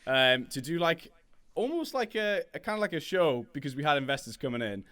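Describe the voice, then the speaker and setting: A man's voice: a little high, very animated, fairly quick, a bit bright, clean tone. A young man co-hosting a podcast, chatty and self-deprecating. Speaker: podcast co-host, social media manager. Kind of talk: casual podcast chat.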